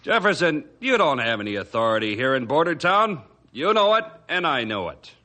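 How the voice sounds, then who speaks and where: An actor playing a scornful, corrupt small-town mayor, blustering and dismissive, in an old-time radio adventure. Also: male voice, medium pitch, very animated, moderate pace, bright, forceful tone. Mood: irritated, dismissive.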